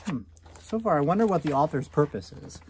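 An American man in his thirties reading a picture book aloud to children, commenting and asking questions with drawn-out emphasis on key words. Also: on the high side, expressive, a little mellow, slight background noise. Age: 30s. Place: small indoor room at home.

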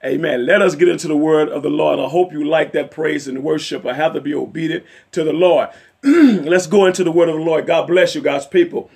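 A middle-aged male evangelist with a raspy voice, preaching fervently from a chair in his living room and sprinkling in amens and hallelujahs. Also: on the high side, fairly quick, a little mellow. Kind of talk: home sermon and Bible study. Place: home living room, seated in a leather chair.

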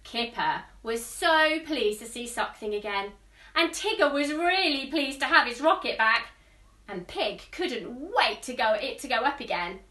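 Young female storyteller for children, bright and sing-song, speaking from a distance in an echoey room. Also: high voice, measured pace. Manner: expressive, sing-song, addressing young listeners. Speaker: teacher reading a storybook to children. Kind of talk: animated children's storybook reading with asides. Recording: roomy echo, distant microphone.

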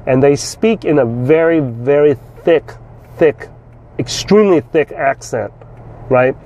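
A man from South Vietnam in his forties, talking bluntly to camera from a parked car, swearing freely and often repeating words as he restarts. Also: medium pitch. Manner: blunt, swears freely, animated hand gestures. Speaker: vlogger and trader. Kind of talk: casual talk to camera. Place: inside a parked car, outdoors. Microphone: phone or camera at arm's length with a lapel mic. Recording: faint car and street noise.

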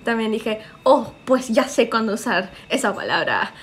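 A woman's voice: a little high, very animated, moderate pace, fairly bright tone, slight background noise. A young woman from Japan chatting casually on a live stream in a small living room, laughing often and reading out viewer comments. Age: young adult.

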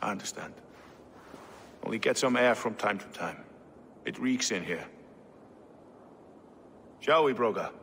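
An actor playing a hard, cynical medieval warrior in a game cutscene, with a blunt, wry and sceptical way of talking. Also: male voice, fairly low pitch, lively intonation, unhurried, pauses often, a bit bright. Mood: firm. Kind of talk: dramatic game cutscene acting. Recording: reverberant hall, faint ambient noise.

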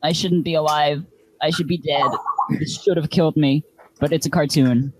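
A man voicing an anxious, fussy twelve-year-old boy in an improvised comedic cartoon fan dub, halting and protesting. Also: a little high, fairly even intonation, darkish tone, no room sound, natural voice, low-quality recording. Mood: flustered, indignant.

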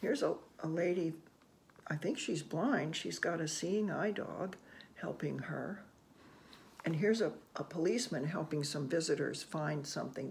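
An older person reading a picture book aloud to children, gently and unhurriedly, with musing asides. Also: mid-range voice, gravelly, slight background noise. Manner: gentle, unhurried, musing with soft hmms and ohs. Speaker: children's read-aloud host. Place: small room indoors at home.

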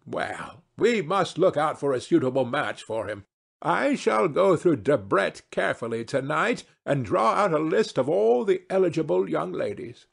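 A man voicing a witty, imperious old aristocratic hostess in a novel reading, effusive, drawn-out and theatrical. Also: on the high side, big pitch swings, gravelly, breathy edge, pitched above the performer's own voice, exaggerated voice. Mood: busy matchmaking. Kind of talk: dramatised classic novel reading.

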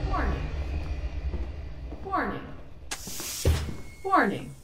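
A malfunctioning female ship computer played by a woman repeats its alerts like eerie announcements in a sci-fi audio drama. There is clear noise around the voice. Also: sits high, wildly swooping pitch, very slow, exaggerated voice. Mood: flat alarm. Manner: repetitive, announcement-like. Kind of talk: science fiction audio drama.

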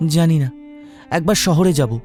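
A man's voice: a little high, unhurried, darkish tone, faint music behind, natural voice. A man playing a downtrodden, resigned poor younger brother in a cartoon, speaking meekly and sorrowfully.

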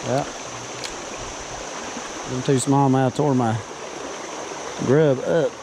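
A man talks slowly and easily from a body-worn camera while he wades a river. Rushing water is clearly heard around him as he mutters to himself between casts. His voice sits at an ordinary middle pitch. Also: steady tone. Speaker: fishing vlogger. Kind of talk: casual outdoor narration while fishing. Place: outdoors wading in a river. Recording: outdoor camera audio with river noise.

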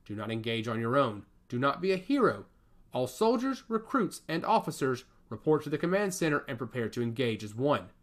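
A man's voice: medium pitch, sing-song, a little fast, close to an everyday voice. A man reading the part of an army captain giving urgent orders over a broadcast in an audiobook. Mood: commanding.

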